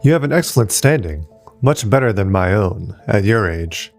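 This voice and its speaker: A man reading the part of an old, kindly, resigned male archaeologist in an audiobook, gentle and reflective. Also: fairly low pitch, animated, normal speed, vocal fry, faint music behind. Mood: weary.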